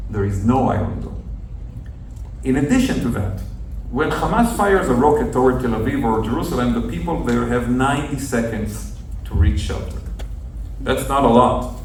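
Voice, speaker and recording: A young adult man, a journalist telling a group his story in an earnest, measured, explaining way. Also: mid-range voice. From northern Israel. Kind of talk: storytelling talk to visitors. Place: outdoors at a kibbutz near the Gaza border. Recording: very echoey, distant outdoor recording with faint noise.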